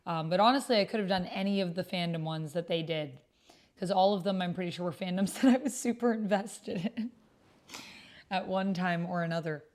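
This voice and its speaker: A woman's voice: lowish voice, brisk, darkish tone. A woman hosting a livestream, chatting casually and informally with her viewers. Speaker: livestream host.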